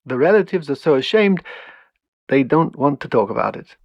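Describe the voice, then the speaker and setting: A man's voice: fairly high pitch, lively intonation, moderate pace, no echo, muffled recording. A man putting on a poor Belgian French accent to voice a grave male medical professor. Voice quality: mock foreign accent, self-admittedly poor.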